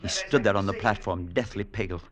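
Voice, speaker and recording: An actor playing a grave, solicitous clergyman who tells a horror tale with measured, dramatic delivery in an old radio drama. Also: on the low side, a crowd in the background, lightly acted. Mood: grave, haunted. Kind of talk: 1940s-50s radio drama acting and narration. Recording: noisy vintage radio recording.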